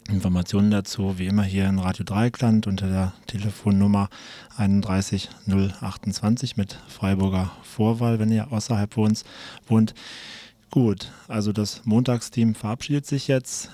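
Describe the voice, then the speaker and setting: Man, dark mellow voice, fairly low, informal morning radio host. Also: level tone, normal speed, dry recording. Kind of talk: informal live radio presenting.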